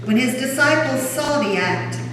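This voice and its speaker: A woman reading as the solemn narrator of a Gospel drama, in formal, measured storytelling. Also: sits high, lively intonation. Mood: solemn narration. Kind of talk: scripted dramatic scripture narration. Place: large church during a service. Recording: very echoey church hall, distant mic, noisy.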